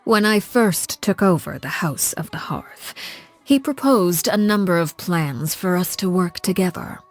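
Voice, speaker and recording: Cold composed game villainess, lowish voice, formal n measured, quietly menacing, music behind her. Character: cold, composed, commanding woman, a Harbinger, judging her colleagues. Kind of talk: scripted video game character monologue.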